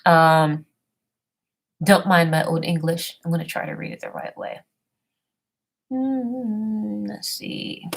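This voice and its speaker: A woman talking casually about history research and then reading an old text aloud haltingly, often stumbling over hard words and names. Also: fairly low pitch, animated, vocal fry. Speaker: self-made history presenter.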